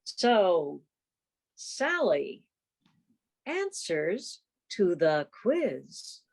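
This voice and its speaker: A woman's voice: fairly low pitch, sing-song, extremely slow, darkish tone. A woman chatting effusively and casually as the host of a club meeting on a video call. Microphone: video call microphone.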